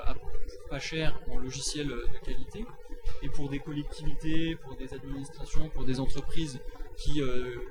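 Halting, higher-voiced man explaining methodically. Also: low-quality recording. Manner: halting, repeats words while explaining; didactic, methodical. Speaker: free software advocate. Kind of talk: explanatory talk, semi-spontaneous.

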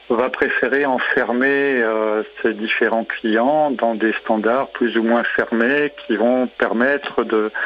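A man speaking as a guest in a radio interview, explaining methodically and building his points in lists. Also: medium pitch. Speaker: interview guest, local government digital official. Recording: slightly dull, lo-fi radio sound.

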